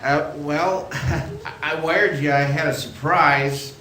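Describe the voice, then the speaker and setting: Guy playing eager hesitant kid brother, radio play, bright highish voice, roomy. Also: fairly even intonation, slow. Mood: excited. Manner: hesitant, stammering. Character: eager, sheepish younger brother just home. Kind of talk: stage radio play acting. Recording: echoey theatre, faint noise.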